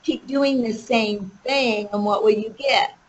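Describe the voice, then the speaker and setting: A woman's voice: fairly high pitch, fairly even intonation, measured pace, a little hiss or hum, low-quality recording. A woman giving motivational coaching to a group on a conference call, emphatic and checking in with rhetorical questions. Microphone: conference call line.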